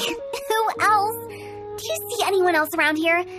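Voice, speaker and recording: A woman voicing a mischievous young female funeral parlor director in a game, playful and teasing, with spooky jokes and a salesy pitch. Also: a little slow. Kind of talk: video game voice acting. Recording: game audio with faint music. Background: faint game music.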